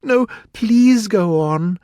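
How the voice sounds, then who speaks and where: Polite, inquisitive young storybook girl, acted by a male reader: earnest, quick to take offence, raised lively pitch. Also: husky, no echo, clean studio sound. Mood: humble. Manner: earnest, questioning.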